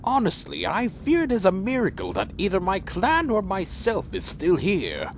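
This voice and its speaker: A man's voice: high voice, huge pitch swings, normal speed, slight background noise. A man playing a weary, proud winged vampire clan leader in a fan audio drama, speaking formally and broodingly. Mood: weary, resigned.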